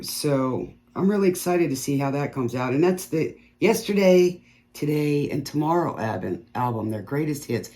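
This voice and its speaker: A woman's voice: deep voice, a little mellow. Chatty, rambling woman tarot reader. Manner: rambling, chatty, stutters at times; laughs at own puns. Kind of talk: casual chat to viewers before a reading.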